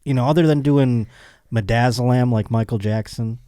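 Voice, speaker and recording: A man's voice: mid-range voice, moderate pace, dark tone, no echo, studio quality. A man hosting a casual comedic podcast, rambling and joking as he speculates. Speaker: podcast host.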